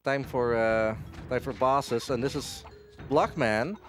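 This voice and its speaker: A man's voice: mid-range voice, slow. A man giving casual live speedrun commentary at a gaming marathon as he plays. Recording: game music behind the voice.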